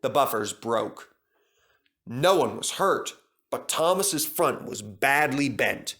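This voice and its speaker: A man's voice: mid-range voice, lively intonation, takes it slowly, a bit bright. A man narrating a children's story from a script as a storybook reader.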